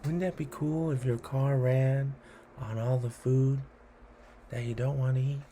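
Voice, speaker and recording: Slow, mellow man reading aloud. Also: mid-range voice, steady tone, slight background noise. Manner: deliberate, adds encouraging asides to listeners. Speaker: read-along host. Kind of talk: expressive children's storybook read-aloud.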